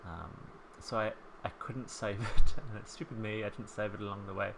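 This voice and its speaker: A man in his thirties with a soft New Zealand accent, chatting casually as a voice-over while he builds a home in a life-sim game, rambling and self-deprecating. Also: on the low side, steady tone, moderate pace, vocal fry, noisy background. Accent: soft New Zealand, softened by years in England. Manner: chatty, rambling, self-deprecating, with frequent ums and small laughs. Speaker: Sims speed-build creator.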